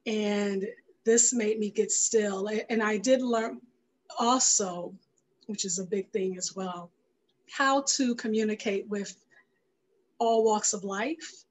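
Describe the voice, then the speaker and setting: Middle-aged woman, interview guest. Mid-range voice, reflective, unhurried delivery with pauses inside sentences. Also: medium pitch, poor audio. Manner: thoughtful, unhurried, pausing mid-phrase. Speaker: interview guest, filmmaker and radio show creator. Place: indoor room, video call. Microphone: headset on video call.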